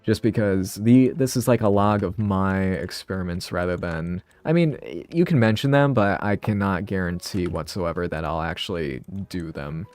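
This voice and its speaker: A man's voice: low voice, moderate pace, few pauses, a little mellow, dry recording, soft background music. A man casually thinking aloud on a live stream, rambling and interrupting himself, a bit sniffly from a cold.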